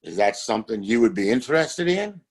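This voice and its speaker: A man's voice: mid-range voice, very animated, a little fast. An actor playing a domineering, contemptuous father and town boss in a Western audio play, speaking in a formal, commanding way. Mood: stern bargaining. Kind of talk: Western audio drama acting.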